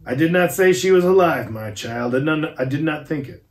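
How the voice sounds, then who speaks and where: Dude doing a solemn old professor, slow formal pleading, voice raised a bit. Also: male voice, a little high, lively intonation, close to an everyday voice. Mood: grave. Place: garage.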